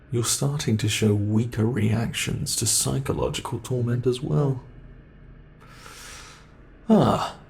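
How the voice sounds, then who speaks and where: A man's voice: mid-range voice, dark tone. A man with a British accent playing a cruel monster under the bed that mocks and toys with its trapped prey in a horror roleplay. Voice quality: gravelly, slow, drawn-out delivery. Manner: menacing, mocking, savoring. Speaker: ASMR roleplay performer.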